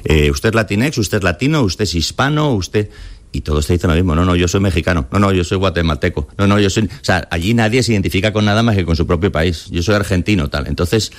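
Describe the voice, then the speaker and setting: A man's voice: low-pitched, lively intonation, fast. A man from Spain with a Castilian accent, telling stories colloquially as an interview guest, slipping into imagined quotes. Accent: Castilian Spanish. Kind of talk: conversational storytelling in an interview.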